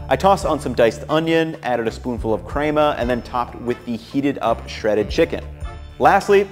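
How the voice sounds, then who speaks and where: Upbeat young man narrating his cooking. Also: mid-range voice. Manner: upbeat, relaxed, filler-heavy when chatting on camera. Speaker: home cooking creator. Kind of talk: cooking vlog voice-over and casual to-camera chat. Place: home kitchen, indoors.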